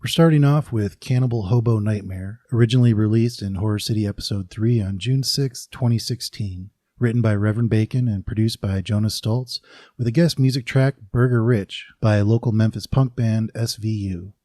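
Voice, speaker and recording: Mellow, lowish-voiced male podcast host. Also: moderate pace, no echo, clean studio sound. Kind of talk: scripted podcast introduction.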